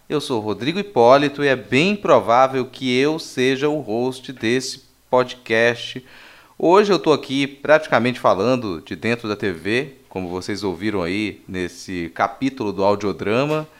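A man's voice: medium pitch, a little slow. Playful Brazilian male podcast host. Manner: joking, mock-serious asides. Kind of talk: casual, playful podcast presentation.

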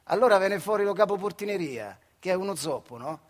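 A man with a central Italian accent from the Marche, performing a theatrical radio monologue in colloquial dialect with dramatic pauses. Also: high voice, expressive. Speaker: stage actor and storyteller performing a monologue.

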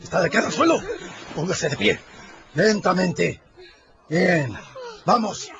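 An actor playing a stern, excitable village policeman barking short orders in a radio drama. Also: high-pitched, slow pace, creaky, lo-fi sound, background noise, full character voice. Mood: commanding.

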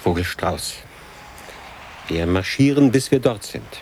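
Low, slow, weary old man. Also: animated, a little mellow, slight background noise. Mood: grim resolve. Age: older. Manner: laconic, clipped fragments. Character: weary, resigned lonely old man, gentle and forlorn. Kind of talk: radio drama dialogue.

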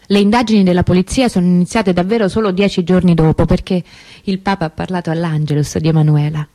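A woman's voice: fairly low pitch, fairly even intonation, fast talker, dark tone, close to an everyday voice. Woman acting anguished sister in theatrical monologue. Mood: urgent, aggrieved. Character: anguished older sister of a vanished girl, testifying. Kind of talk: theatrical monologue for radio theatre, intimate testimony.

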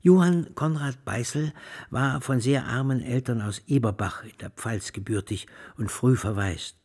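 A man's voice: medium pitch, measured pace, darkish tone, slightly rough. Solemn, fervent male music lecturer. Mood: reverent storytelling. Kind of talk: radio drama lecture, ornate rhetorical delivery.

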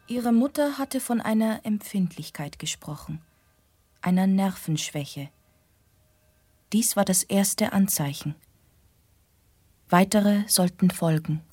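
A woman with a husky voice giving scripted literary narration in a radio play. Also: medium pitch, expressive, takes it slowly, long pauses, a little mellow, dry recording.

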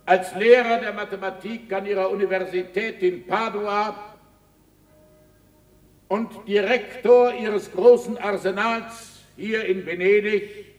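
Ceremonious scholar, high-pitched oration, deferential, deliberate, distant echoing hall, 1960s radio drama. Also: male voice, steady tone. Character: Galileo as ceremonious, humbly flattering scholar addressing dignitaries. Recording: echoey hall, distant from the mic.

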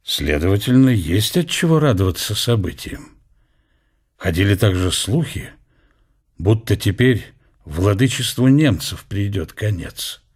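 A man's voice: fairly low pitch, sing-song, unhurried, husky. A man reading reflective scripted narration of a character's thoughts in a historical radio drama.

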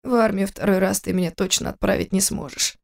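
A man's voice: sits high, steady tone, fairly quick, creaky. A woman voicing an anguished grown son, bitter and broken by grief, pleading in a pained way in an audiobook. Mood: defiant.

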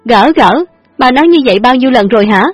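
A hot-tempered young woman, played by a female storyteller, fires off shrill retorts, pitched well above the reader's own voice and pushed loud. Her tone has a husky, breathy edge over faint background music in a dry, close recording. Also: no echo. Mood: angry scolding. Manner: shrill, scolding, fast retorts.

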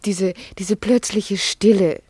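An actress playing a thoughtful, gently ironic village doctor's wife in a German radio drama, earnest and searching. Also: female voice, medium pitch, steady tone, slow pace, breathy edge, no echo. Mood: earnest, reproachful.

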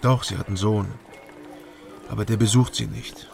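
An actor playing a troubled, gentle loner in a radio crime drama, speaking in an understated, slightly hesitant way. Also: male voice, lowish voice, fairly even intonation, moderate pace, gravelly, soft background music. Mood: gentle, reassuring. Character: awkward, troubled loner man, gentle with a child. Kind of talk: German radio crime drama acting and narration.

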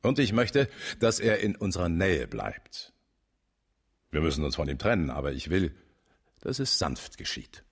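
An actor playing a shrewd older police chief in a German radio drama, speaking with blunt, measured authority. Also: male voice, low voice, big pitch swings, husky, heavily acted. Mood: measured, deliberate.

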